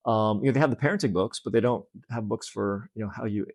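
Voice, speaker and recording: A man's voice: on the low side, fast, a little mellow, no echo. A man in his late fifties talking earnestly and casually as an interview guest, often breaking off to restart. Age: late 50s. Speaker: fitness center owner, interview guest.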